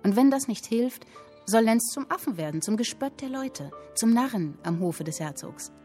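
A woman's voice: a little high, lively intonation, normal speed, mellow, soft background music, natural voice. An actress playing an earnest young woman writer, speaking in a dramatic, literary radio drama style. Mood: scornful, resolute. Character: earnest young woman writing and reading her story.

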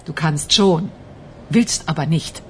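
A woman's voice: low-pitched, slow pace, a little mellow, a little hiss or hum. A woman playing a talkative, defensive middle-aged office worker in a radio crime drama, mocking and easily indignant. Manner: chatty, mocking, indignant when pressed. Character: talkative, defensive female office worker under police suspicion.

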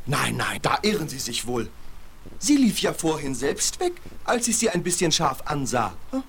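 Casting: innocent, courteous snowman for a fairy-tale audio drama; earnest, highly melodic delivery, slight record noise. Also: moderate pace. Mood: puzzled. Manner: polite, wondering, expressive storytelling. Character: naive, earnest, courteous young male snowman. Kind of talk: 1970s German fairy-tale radio play. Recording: faint hiss of vinyl transfer.

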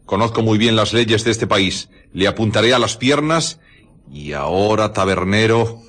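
A man's voice: on the low side, moderate pace, a bit bright, lightly acted. An actor playing a bold, self-assured, commanding armed man in a radio drama. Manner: confident, commanding. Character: bold, self-assured male customer with a revolver.

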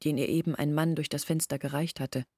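An actress in a German radio play playing an earnest village woman who recounts events in a measured, literary way. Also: sits low, fairly even intonation, heavy background noise. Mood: grave, recollecting.

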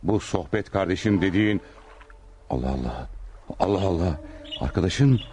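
A man's voice: low-pitched, lively intonation, normal speed, darkish tone, a little hiss or hum. An actor playing a stately, devout Ottoman sultan in a radio play, speaking in archaic, courtly exclamations. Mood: puzzled, amazed. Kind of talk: Turkish radio theatre acting.

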